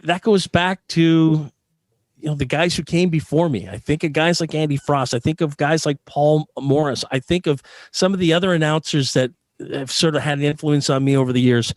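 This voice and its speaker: A man's voice: on the high side, no room sound. A Canadian man answering as a guest in a conversational interview, earnest and emphatic, repeating phrases for stress. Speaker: sports arena PA announcer being interviewed.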